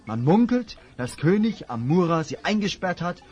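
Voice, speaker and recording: A man's voice: fairly high pitch, very animated, normal speed, darkish tone, muffled recording, faint music behind. An actor playing a friendly but worried male innkeeper who confides rumours and warnings in a fantasy audio drama. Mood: grave, confiding.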